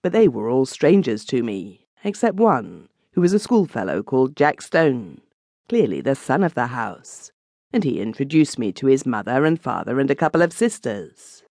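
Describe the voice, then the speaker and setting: A man narrates a classic ghost story in a dry recording with no room sound. His voice sits at a medium pitch. He tells it in the first person, in measured, literary storytelling for an audiobook. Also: big pitch swings, a little mellow, no echo.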